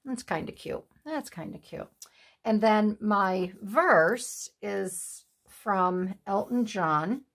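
A woman in her sixties chatting at home while she makes a collage at her craft table, with a breathy, sniffly voice, laughing asides and remarks to herself. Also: medium pitch, lively intonation, takes it slowly. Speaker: craft channel host. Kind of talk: casual chat while making a collage. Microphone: overhead camera on the desk, hands only in frame.

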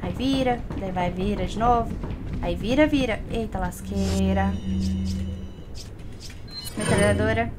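Young woman, Brazilian accent, casual gaming commentary, chatty, repetitive bursts, medium pitch, loud game music behind. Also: steady tone. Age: young adult. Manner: chatty, repeats words in quick bursts. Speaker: gaming YouTuber. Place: indoor room, seated at computer. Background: loud game music and effects.

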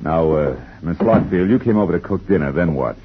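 A man's voice: low-pitched, expressive, a little fast, background noise. An actor playing a wry, hard-boiled male private detective who narrates in a dry, laconic way, in a 1950s radio drama. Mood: sardonic. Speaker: narrator and lead. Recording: dull lo-fi 1950s radio broadcast with music.

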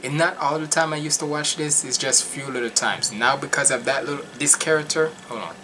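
A young man from Jamaica giving casual, animated and slangy commentary as he reacts to music at home. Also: on the high side, a little fast, noisy background. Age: young adult. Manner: animated, slangy, emphatic, repetitive. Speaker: reaction video host. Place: small furnished room at home.

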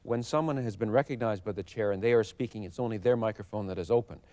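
A man's voice: fairly low pitch, quick pace, dark tone, dry recording. A middle-aged man answering interview questions thoughtfully, with hesitations and restarts. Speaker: member of parliament interviewed.

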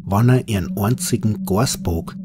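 A man with a Bavarian accent narrates a scripted horror story in dialect, in an unhurried, folksy manner. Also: lowish voice, noisy background.

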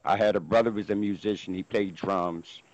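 A man's voice: low-pitched, steady tone, fairly quick. A middle-aged man talks over a video call from a room at home, looping back over his own words. The sound is dry and close, with no room echo. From Philadelphia, USA. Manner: rambling, repeats himself. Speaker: guest musician. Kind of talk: casual interview. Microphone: video call with earphone headset.

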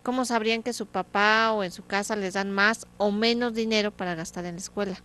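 A woman's voice: mid-range voice, steady tone, fairly quick, fairly bright tone. A woman in her thirties hosting a small studio TV interview on a handheld mic, chatty, often repeating and restarting her phrases. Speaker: TV show host. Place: small TV studio set, indoors.

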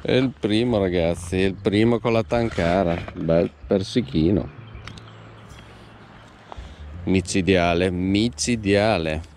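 A man talking to his viewers while fishing outdoors by a pond, breaking into excited exclamations, recorded on a head-mounted camera. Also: low-pitched, takes it slowly, long pauses, slight background noise. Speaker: fishing vlogger. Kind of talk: casual commentary while fishing.

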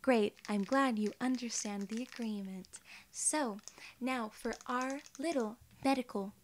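A soft-spoken female alien gatekeeper, played by a young woman, with a slightly high voice. She is formal, measured and reassuring, in the style of close-up ASMR role-play. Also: a little hiss or hum, natural voice. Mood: polite, reassuring. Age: 20s.

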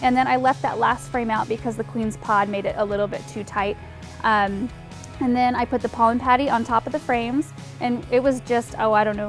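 A young woman hosting a gardening show, chatting casually and explaining things with light laughs and frequent ums. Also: on the high side. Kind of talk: casual how-to narration. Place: outdoor backyard garden, windy.

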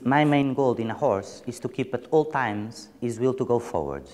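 A man horse trainer speaking calmly and deliberately about his goals. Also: mid-range voice, big-room sound, a little hiss or hum.

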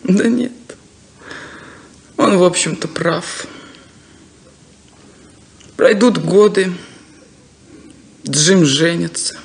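A lonely, resigned farm daughter in an old radio drama. Her voice is rather low for a woman or middling, with plenty of creak, and she speaks wistfully and deliberately. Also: exaggerated voice. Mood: resigned, bitter. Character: sad, plain, resigned unmarried farm daughter. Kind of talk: 1970s Soviet radio play acting.

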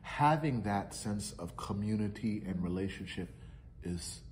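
A middle-aged man sits in a large indoor lounge and answers questions in a reflective, earnest way. His voice sits a little low. A faint room hiss runs under the recording. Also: expressive, measured pace. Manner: earnest, reflective, gestures while talking. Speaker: interviewee. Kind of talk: casual interview answers.

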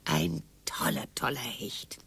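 Croaky, sardonic old wife. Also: female voice, a bass voice, lively intonation, takes it slowly, fairly bright tone, in a whisper, full character voice. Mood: weary, wistful. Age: older. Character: weary, sardonic old wife, dryly morbid. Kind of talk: radio play dialogue.

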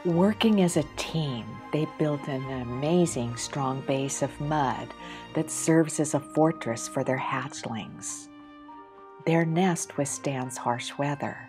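A woman artist calmly reading a scripted documentary narration about her drawing, in a measured, reflective way. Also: low voice. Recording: voice-over with loud background music.

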